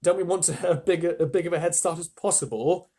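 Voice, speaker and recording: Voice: medium pitch, fairly quick, warm tone, husky, pitched above the performer's own voice. An earnest young boy, as a parent reads him. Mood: eager.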